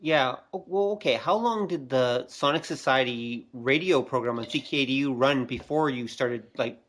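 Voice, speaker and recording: Casual male co-host, middle-pitched voice. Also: moderate pace, fairly bright tone. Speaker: podcast co-host. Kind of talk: casual chat.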